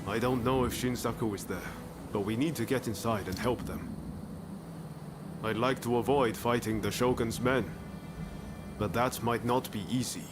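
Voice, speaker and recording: An actor playing a determined, cautious rebel leader in dramatic video game dialogue. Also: male voice, mid-range voice, steady tone, moderate pace, music in the background, natural voice. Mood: urgent, determined. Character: determined, cautious male rebel ally leading a rescue.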